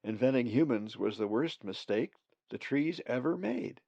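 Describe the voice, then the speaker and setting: A man voicing a wise female great raven who leads the flock, calm and reassuring. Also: medium pitch, normal speed, gravelly, no echo, dull audio. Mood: kindly.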